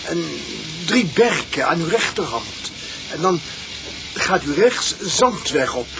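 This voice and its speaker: High-pitched unhinged petrol station attendant. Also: male voice. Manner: halting, repetitive, agitated. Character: unhinged petrol station attendant, polite, hesitant, violently obsessive. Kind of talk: Dutch radio play acting. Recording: noisy old radio play recording.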